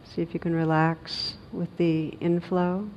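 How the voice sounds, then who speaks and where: A woman meditation teacher leading a guided meditation in a gentle, unhurried manner with long pauses, on a recording with some noise. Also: level tone. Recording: dull recording with noise and rumble.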